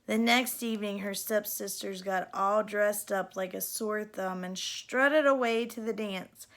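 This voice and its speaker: A woman reading a children's story aloud as an expressive narrator. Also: medium pitch, measured pace. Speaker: storybook reader.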